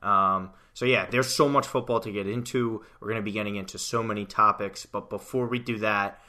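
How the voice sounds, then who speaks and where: Young american guy hosting a soccer pod, low-ish voice but really bright and sharp, chatty. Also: fairly quick. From United States. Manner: energetic, conversational, frequent fillers. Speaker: podcast producer and fill-in host. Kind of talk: casual soccer podcast talk.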